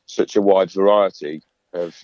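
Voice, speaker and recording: A singer-songwriter guest in a radio interview, talking in a relaxed, chatty way with lots of fillers and restarts in his low voice. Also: a little slow, muffled recording, slight background noise. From England.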